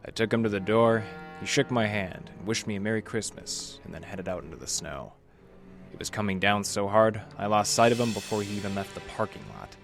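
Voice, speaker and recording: An actor playing a wry, hardboiled library detective in a noir radio drama, with dry, clipped delivery and first-person narration. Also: male voice, sits low, gravelly, a bit bright.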